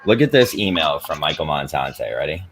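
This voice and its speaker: A man's voice: very deep voice, animated, brisk, fairly bright tone. A young man co-hosting a podcast from home over an online call, telling rambling, profane stories in casual chat. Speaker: podcast co-host.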